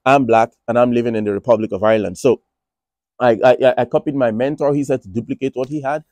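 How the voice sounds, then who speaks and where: Man, Nigerian accent, warm relaxed timbre, mid pitch, casual rambling talk, dry recording. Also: fast, no echo. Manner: rambling, many restarts and fillers. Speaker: finance vlogger. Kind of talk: casual monologue. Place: Republic of Ireland.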